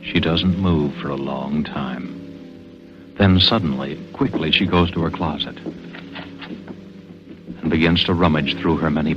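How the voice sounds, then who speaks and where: An actor as the solemn, deliberate narrator of a 1940s radio suspense thriller. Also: male voice, extremely low pitch. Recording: dull vintage radio recording. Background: dramatic music.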